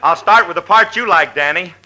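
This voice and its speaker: High, theatrical young composer. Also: male voice, brisk, sharp tone, poor audio. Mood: earnest, lofty. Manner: overwrought, theatrical, earnest. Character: young self-important composer, delicate dreamy musical genius. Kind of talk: 1940s radio comedy acting, melodrama parody. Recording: vintage 1940s radio broadcast recording.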